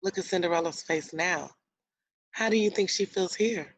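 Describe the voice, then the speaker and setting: A woman's voice: lowish voice, moderate pace. A woman teacher reading a storybook aloud to young children, explaining words and asking guiding questions as she goes. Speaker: elementary school teacher.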